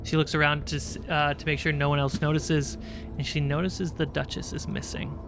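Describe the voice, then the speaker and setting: A man acting as game master, improvising narration for a tabletop game in short, halting phrases. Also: a little high, flat delivery, creaky, background noise. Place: indoor livestream.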